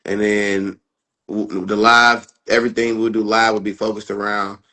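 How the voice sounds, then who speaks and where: A man with a fairly low voice speaks as a guest in a relaxed interview. He talks loosely and casually and keeps checking in with "you know what I'm saying.". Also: normal speed. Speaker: MMA fighter and guest.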